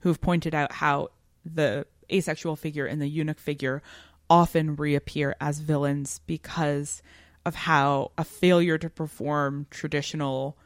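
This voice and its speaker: A woman's voice: low voice, flat delivery, a little slow, no echo, studio quality. A woman co-hosting a podcast, discussing literature conversationally in an analytical, academic way. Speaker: podcast co-host.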